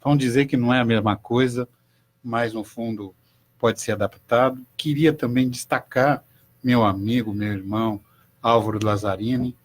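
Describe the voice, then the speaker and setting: Formal older judge. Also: male voice, mid-range voice, fairly even intonation. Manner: formal, measured, explanatory. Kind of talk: radio talk show guest.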